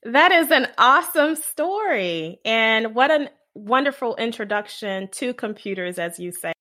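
A woman's voice: on the high side, lively intonation, measured pace, bright, forceful tone. A woman hosting an interview podcast, who asks questions in an upbeat, encouraging, polished way. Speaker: podcast host.

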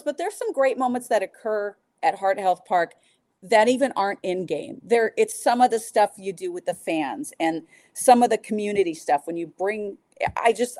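A woman's voice: medium pitch, darkish tone, no room sound, studio quality. A woman talking casually and enthusiastically as a podcast guest, a sports public address announcer. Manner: enthusiastic, informal.

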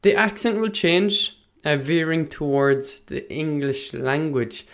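A man with an Irish Munster accent teaching about the Irish language in a casual, thoughtful way, pausing often with fillers and correcting himself. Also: on the high side, a bit bright. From Ireland. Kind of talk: casual spoken lesson, talking to the listener.

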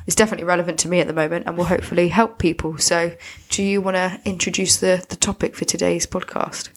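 A woman co-hosting a podcast, a little sniffly and husky from a cold, explaining her thoughts at length. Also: fairly low pitch, fairly even intonation, fast. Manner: reflective, talks in long loose explanations. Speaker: podcast co-host. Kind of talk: casual podcast chat.

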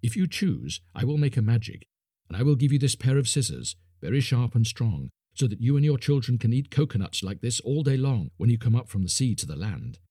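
Man voicing a calm, gentle little girl. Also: mid-range voice, a little fast, slightly rough, dry recording, studio quality.

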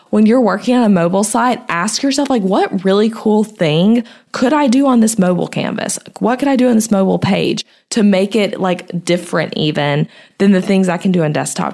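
Slightly hoarse woman, chatty podcast host. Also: fairly low pitch, darkish tone. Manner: chatty, uses "like" a lot. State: slightly hoarse from a sore throat. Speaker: podcast host and web designer. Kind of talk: casual solo podcast talk.

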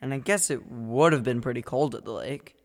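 A woman's voice: a bass voice, brisk, in a large hall. A wary, hesitant boy. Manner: hesitant, wry. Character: cautious thoughtful young boy.

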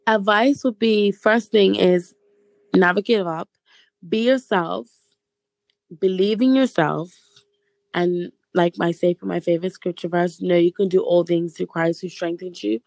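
A young woman with a slightly hoarse, gravelly voice and a lowish pitch, talking casually as an interview guest. Her speech is relaxed and chatty, with pauses and fillers. The sound is close and dry. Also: dry recording. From Bermuda. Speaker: guest, stage actress.

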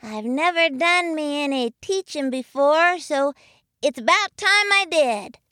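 A woman's voice: high voice, lively intonation, a little slow, pushed and bright, dry recording, studio quality, voice pushed up, full character voice. A woman voicing a small, eager young muskrat boy in a storybook, with an earnest folksy way of talking. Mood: plucky.

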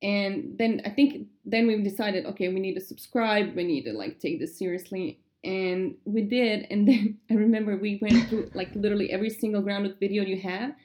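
Croatian-born woman guest, warm low-key tone, excited and laughy. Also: moderate pace. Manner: lively, laughing, enthusiastic recollection. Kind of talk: casual interview chat.

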